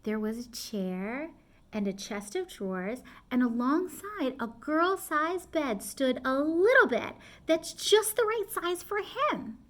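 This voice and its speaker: A middle-aged woman reading a picture book aloud to young children at home, expressive, pausing to explain and ask questions. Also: high voice. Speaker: storytime reader. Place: small room at home, indoors.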